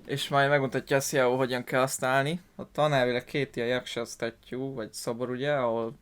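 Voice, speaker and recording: A man's voice: medium pitch, little pitch movement, fast talker, fairly bright tone, clear, resonant tone. A man giving casual gameplay commentary as host of a gaming video. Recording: game music behind the voice.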